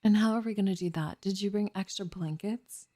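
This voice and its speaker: A woman's voice: mid-range voice, a little fast, warm tone, no echo, close to an everyday voice. A young elf girl, acted by a woman, goes along reluctantly as a research partner in an ASMR roleplay. She has a mid-range voice and a dry, teasing, skeptical delivery. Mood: doubtful, alarmed.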